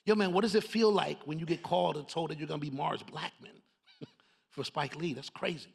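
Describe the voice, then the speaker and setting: A man's voice: fairly high pitch, fairly quick, gravelly, husky. A middle-aged man from Harlem, moderating a stage talk in loose street slang. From Harlem, New York, USA. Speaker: moderator, marketing leader. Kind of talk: casual stage conversation. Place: stage panel before a live audience.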